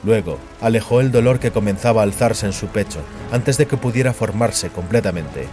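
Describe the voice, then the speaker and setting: A man narrating dramatic fiction in a solemn, measured way. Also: on the low side, music in the background.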